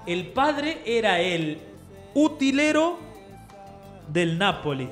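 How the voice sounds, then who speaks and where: A man's voice: high-pitched, sing-song, takes it slowly. A young man with an Argentine accent, hosting a radio show and joking with his co-hosts in a studio. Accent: Argentine Spanish. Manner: playful, boastful, mock Italian. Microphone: studio mic with headphones. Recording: roomy studio with music behind.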